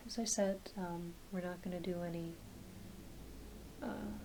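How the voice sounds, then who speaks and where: A woman voicing a gentle, reassuring doctor in a soft, mostly whispered ASMR medical roleplay, murmuring encouragement. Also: on the low side, fairly even intonation, a little slow, noisy background, close to an everyday voice. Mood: soothing, encouraging. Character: gentle, reassuring werewolf doctor giving an exam. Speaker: ASMR performer.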